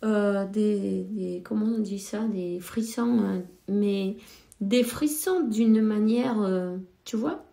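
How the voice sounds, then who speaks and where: Slow, mellow-voiced woman with a cold. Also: medium pitch, creaky, reverberant. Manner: slow, hesitant, many fillers. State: has a cold. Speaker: spiritual card reader. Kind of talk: casual spiritual advice talk.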